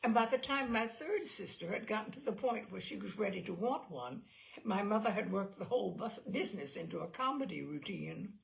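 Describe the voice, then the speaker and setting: A woman reads a comic personal essay with theatrical flair. Her medium-pitched voice moves widely up and down and often drops into a creaky fry. Her phrasing is expressive and emphatic, shaped like a performance for listeners. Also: fairly quick, poor audio. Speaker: reader of literary selections.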